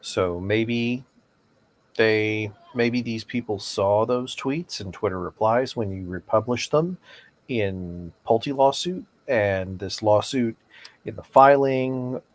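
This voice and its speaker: A lowish male voice of an amateur reader as an attorney taking a deposition. He questions formally and deliberately, pressing the witness for a direct answer. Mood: insistent. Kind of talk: amateur read-aloud of a deposition transcript.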